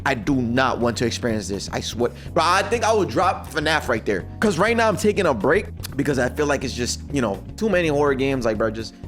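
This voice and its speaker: Animated young man reacting casually. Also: mid-range voice, a little fast, few pauses. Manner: excitable, slangy, repeats words. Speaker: reaction streamer. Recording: music behind the voice.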